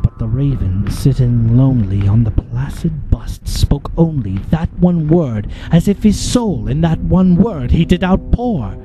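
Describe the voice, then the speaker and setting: A man giving a theatrical, dramatic recitation of a poem, often dropping into hushed whispers. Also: mid-range voice, big pitch swings, measured pace, few pauses, rough recording. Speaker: narrator performing a poem. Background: music.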